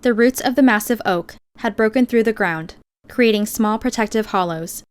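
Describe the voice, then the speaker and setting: A woman narrating an audiobook in the first person, with measured, expressive storytelling. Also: fairly high pitch, clear, resonant tone.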